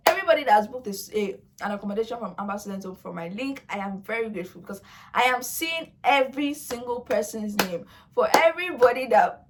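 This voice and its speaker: A woman's voice: medium pitch, slight background noise. A young woman whose first language is Yoruba, talking casually to her viewers in a chatty, coaxing way with playful asides. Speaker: vlogger. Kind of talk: casual talk to camera.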